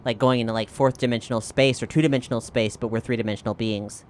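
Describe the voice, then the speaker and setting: A woman playing a tabletop role-playing game, improvising casually and thinking aloud in halting phrases. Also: very deep voice, steady tone, a little mellow, slight background noise.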